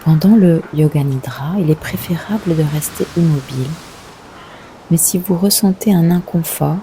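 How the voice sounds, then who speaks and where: A woman leading a guided yoga nidra meditation, giving gentle, unhurried instructions with long pauses. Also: low-pitched, a little hiss or hum.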